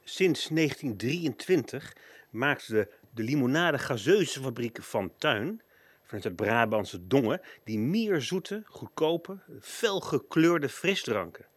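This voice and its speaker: Lively male radio narrator, dry sound. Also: medium pitch, takes it slowly, breathy edge, no room sound. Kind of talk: scripted documentary narration in a radio play.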